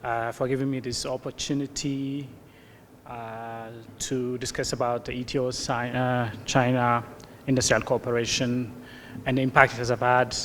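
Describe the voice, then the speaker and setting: Flat-voiced man giving a conference talk. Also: medium pitch, a little hiss or hum. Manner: measured, formal, some fillers. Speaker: keynote speaker. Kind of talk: conference presentation. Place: conference hall.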